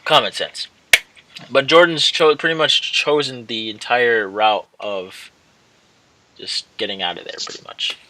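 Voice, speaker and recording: A man hosts a casual gaming video, reading story text aloud. He stumbles and goes back over phrases as he reads. The recording carries clear background noise. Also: mid-range voice, a little slow, sharp tone. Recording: clearly noisy home recording.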